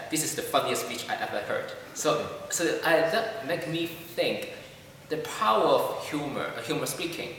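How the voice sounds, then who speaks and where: A man speaking English as a second language, halting and full of fillers as he answers questions. He is heard from a distance in a large room with long, booming reverb and a faint background hum. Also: fairly bright tone. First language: not English. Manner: hesitant, many fillers and restarts. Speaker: club member. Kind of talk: casual interview. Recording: echoey hall from far off.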